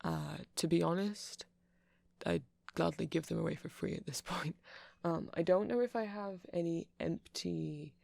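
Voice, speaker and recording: A man playing a nerdy, friendly vampire shopkeeper in an ASMR roleplay, soft-spoken and gravelly, rambling hesitantly as he explains tech. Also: on the high side, unhurried, no echo. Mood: geeky, musing. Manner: rambling, hesitant, self-conscious geeky explaining.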